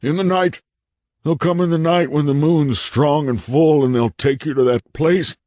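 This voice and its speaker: A frantic, haunted man in a horror audiobook, played by a male narrator. His voice is hoarse and strained, pushed up from the narrator's own, and it trembles with pleading urgency. The sound is close and dry. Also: a little high, normal speed, darkish tone, no echo, pitched above the performer's own voice, lightly acted. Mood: frenzied terror.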